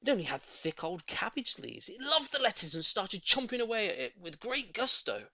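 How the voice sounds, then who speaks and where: A man reading a children's story in an expressive, theatrical narrating style. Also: high voice, moderate pace, no room sound. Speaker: audiobook reader.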